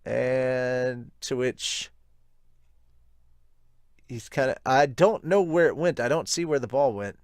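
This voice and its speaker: A man's voice: mid-range voice, slow, long pauses, clear, resonant tone, no room sound, studio quality. A man hosting a manga review, reading aloud and commenting casually as he goes. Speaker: manga reviewer and podcast host.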